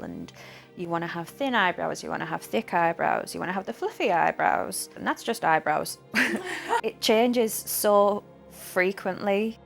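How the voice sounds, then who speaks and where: A 25-year-old woman interviewed for a documentary, speaking in a thoughtful, measured way. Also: fairly low pitch, gravelly.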